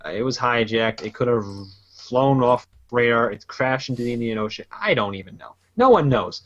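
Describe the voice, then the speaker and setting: A man's voice: mid-range voice, lively intonation, normal speed, dull audio. A man casually chatting as a podcast host, rambling loosely and laughing often. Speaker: podcast host and gaming YouTuber. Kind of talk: casual chat.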